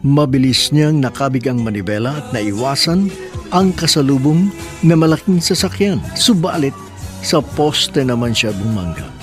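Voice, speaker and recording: Male radio host-narrator, formal and polite, soft music bed. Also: mid-range voice, lively intonation, moderate pace. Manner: warm, formal, polite address. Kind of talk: scripted romantic narration.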